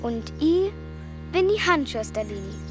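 A woman's voice: high voice, sing-song, measured pace, over loud music. An actress playing a curious, trusting little village girl with a Bavarian accent and plain, childlike speech in a radio play. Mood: proud.

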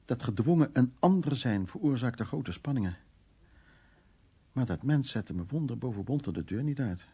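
In a radio drama, a brusque male art restorer. His voice is dark, husky and gravelly, and he talks in hesitant, muttered repetitions, often as if to himself. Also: medium pitch, animated, moderate pace. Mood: agitated recollection. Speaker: actor in a radio play. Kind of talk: Dutch radio drama acting, dialogue and soliloquy.

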